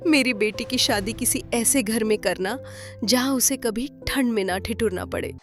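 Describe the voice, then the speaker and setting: A woman's voice: fairly high pitch, moderate pace, soft background music, lightly acted. A woman as a worried, prayerful older mother. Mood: anxious, pleading.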